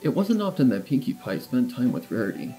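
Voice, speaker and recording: Animated male storybook narrator. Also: mid-range voice, a little fast. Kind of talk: scripted storybook narration of fan fiction. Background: music.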